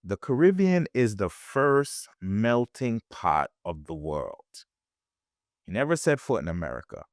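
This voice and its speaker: A man's voice: on the low side, sing-song, unhurried, dry recording, clean studio sound. A man from Jamaica, a guest on a podcast, talking in a reflective way with frequent restarts. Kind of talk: conversational interview.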